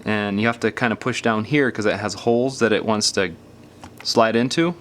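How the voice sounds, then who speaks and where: Low-pitched man giving casual how-to instruction. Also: moderate pace, a little hiss or hum. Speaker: repair tutorial presenter. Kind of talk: casual step-by-step hands-on instruction.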